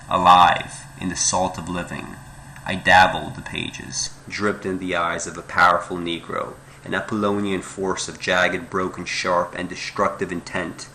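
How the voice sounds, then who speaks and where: Very deep, gravelly man reciting poetry. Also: pushed and bright, a little hiss or hum, low-quality recording. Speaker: poetry reader.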